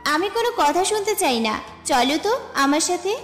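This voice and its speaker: High-voiced, friendly, bossy college girl played by a woman. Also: very animated, moderate pace. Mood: mock-scolding. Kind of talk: romantic audio story dialogue. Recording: echoey reverb with music bed. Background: music.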